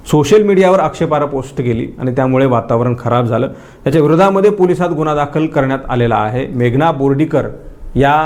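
A man's voice: mid-range voice, darkish tone. A man with a Maharashtrian accent presenting a news explainer to the audience. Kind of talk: news explainer to camera, introducing a viral clip.

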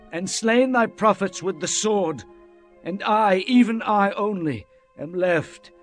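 Casting: fervent, high-voiced Israelite man, earnest and declamatory, for scripture audio drama. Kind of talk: dramatized Bible acting. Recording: music bed under the voice.

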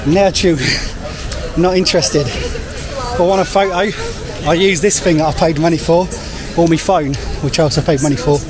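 A man's voice: on the high side, fairly even intonation, normal speed, crowd noise. An English-accented man hosts a casual travel vlog with music behind him. He is chatty and effusive, often repeating phrases for emphasis, and speaks into a handheld camera at arm's length. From England. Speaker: travel vlogger, host.

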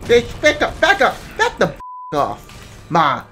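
A man's voice: extremely high pitch, wildly swooping pitch, slow, sharp tone. A young man who streams games, chatting casually with blunt, profane banter. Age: young adult. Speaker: game streamer. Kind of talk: casual gaming chat. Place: indoor room at a desk. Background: music, game.

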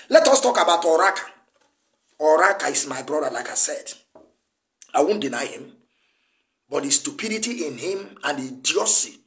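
A middle-aged man speaks in a notably high register with a Nigerian Igbo accent, Igbo being his first language. He hosts an impassioned political broadcast, scolding and driving points home by repeating them. He sits indoors at a table, close to the camera. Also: fairly bright tone. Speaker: broadcast host, political activist. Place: indoor room, seated at a table.

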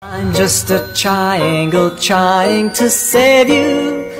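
A woman's voice: medium pitch, very slow. A woman singing a comic song. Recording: loud music backing.

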